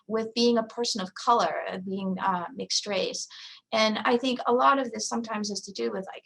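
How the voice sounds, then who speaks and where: A woman's voice: a little high, gravelly, pushed and bright, low-quality recording. A woman in her forties presenting a talk in a conversational way, with plenty of fillers and asides. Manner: conversational, many fillers and "you know". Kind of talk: conference talk.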